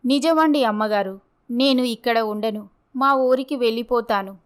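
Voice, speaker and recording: A meek, wounded young wife in a village storybook drama , played by a woman. Her voice is high-pitched and very clear. She speaks earnestly, as if always pleading. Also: normal speed, lightly acted. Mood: resigned, hurt.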